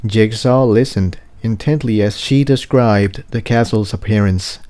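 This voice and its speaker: A man narrates fiction in a fairly low, dark and mellow voice. He takes his time over the scripted text, measured, with an occasional stumble. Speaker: amateur fan fiction audiobook reader.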